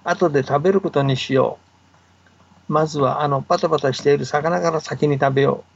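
A man voicing a greedy, gluttonous male crow that quickly turns angry and gruff, heard over a muffled remote line. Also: a little high. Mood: scheming. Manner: gruff, blustering demands.

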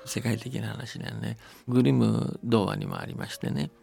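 A man's voice: on the low side, wildly swooping pitch, normal speed, vocal fry, mellow, husky, faint music behind. A man telling and explaining folktales conversationally, often checking that the listener agrees. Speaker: storyteller and folktale commentator.

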